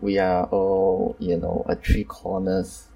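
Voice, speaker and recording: A man's voice: deep voice, level tone, slow pace, vocal fry, dark tone, recorded from a distance, low-quality recording. A man hosting a casual online group chat, talking hesitantly with many fillers and apologies. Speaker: host.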